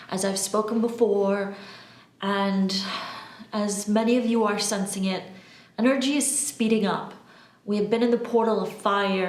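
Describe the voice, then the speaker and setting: A woman from Albania gives a spiritual monologue to her followers, speaking emphatically and deliberately, with pauses and repeated words. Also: medium pitch. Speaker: spiritual channeler and video host. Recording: roomy, echoing room sound.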